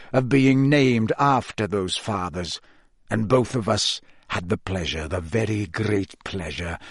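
A man voicing a fanatical, villainous dark wizard in an audiobook, gloating and ranting with drawn-out menace. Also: on the low side, slightly rough, no room sound, studio quality, voice pushed down.

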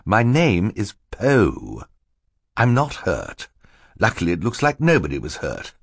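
A man voicing a fussy, pompous storybook gentleman. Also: lowish voice, wildly swooping pitch, a little slow, gravelly, slight background noise, heavily acted. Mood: put out. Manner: admonishing, pompous, punctuated by coughing. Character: fussy, stern, easily flustered gentleman with a cough. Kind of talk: audiobook character acting.

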